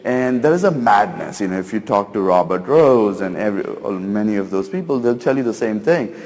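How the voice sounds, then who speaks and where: Middle-aged male keynote speaker, lowish voice, chatty and self-mocking, reverberant hall. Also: expressive, brisk, darkish tone. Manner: chatty, self-deprecating, many fillers. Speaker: conference speaker. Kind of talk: casual keynote talk. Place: conference stage in a large hall.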